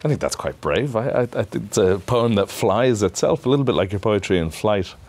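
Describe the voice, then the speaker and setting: Deep-voiced male interviewer, stammering. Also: lively intonation, a little fast, darkish tone. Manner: stammering restarts, polite. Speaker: interviewer, professor. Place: TV studio set.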